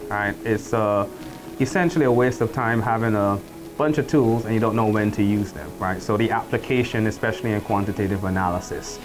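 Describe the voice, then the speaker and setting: A middle-aged man with a Barbadian accent, a professor and department chair speaking in a faculty profile in a measured, explanatory way. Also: mid-range voice, low-quality recording. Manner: measured, explanatory, uses "you know" and "and whatnot".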